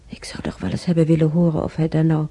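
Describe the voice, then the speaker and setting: An actress playing an older woman in a radio play, musing haltingly and sorrowfully over the past in a husky, gravelly voice. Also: low voice, completely flat delivery, dark tone. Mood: wistful, sorrowful. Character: older woman, sorrowful, brooding, recalling war years. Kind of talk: radio play monologue, reminiscing.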